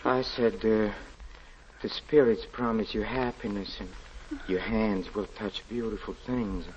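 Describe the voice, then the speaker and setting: An actor playing a haunted, desperate husband confessing a terrifying tale in halting, repetitive, melodramatic 1940s radio style. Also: male voice, on the low side, steady tone, a little mellow, natural voice. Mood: tender, coaxing. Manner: halting, repeats words, intensely melodramatic. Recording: muffled, noisy vintage 1940s radio recording.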